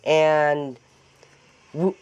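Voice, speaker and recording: A woman with a raspy voice after an illness, chatting and joking as she demonstrates a paper craft in her home craft room. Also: sits low, steady tone, drawn-out words, darkish tone, lo-fi sound. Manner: chatty, joking, laughs often. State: recovering from illness. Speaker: craft tutorial host. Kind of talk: casual chat while demonstrating a craft.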